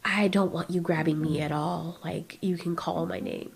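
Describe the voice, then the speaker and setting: A woman's voice: fairly low pitch, steady tone, moderate pace, creaky, music in the background. A woman voicing an impatient female giant moth monster who speaks politely but curtly in an audio drama. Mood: uneasy. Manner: hesitant politeness turning curt.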